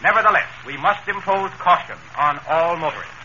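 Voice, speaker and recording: An actor playing a pompous, impatient judge keeping order in a vintage radio comedy. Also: male voice, on the high side, brisk, bright, forceful tone. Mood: officious. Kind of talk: 1930s radio comedy acting. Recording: dull, hissy old radio recording.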